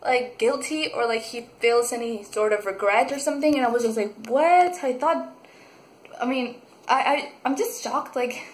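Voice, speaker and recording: A young woman from Mexico, reviewing a book in a casual vlog, gushing and excitable with many fillers and restarts. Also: a little high, moderate pace. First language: Spanish. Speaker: book vlogger. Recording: echoey room.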